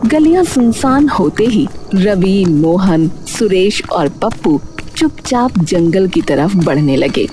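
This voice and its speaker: Slow-paced woman storyteller, very animated pitch. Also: slight background noise. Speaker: narrator. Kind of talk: scripted storytelling narration for a Hindi cartoon. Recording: loud music under the voice. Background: background music.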